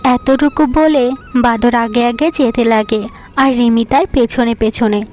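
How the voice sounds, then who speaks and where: Female storyteller for fairy-tale cartoon narration, higher-pitched, faint music bed. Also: steady tone, unhurried, a little mellow.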